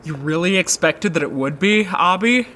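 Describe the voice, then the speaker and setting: A man's voice: high-pitched, expressive, moderate pace, pushed and bright, slight background noise. An actor playing a teasing ogre prisoner who jokes with his cellmates.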